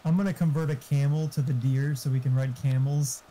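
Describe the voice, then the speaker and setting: A man's voice: medium pitch, fairly even intonation, brisk, warm tone, slight background noise, poor audio. A man in casual technical chat over voice call, explaining things and swearing freely. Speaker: game modder on voice chat.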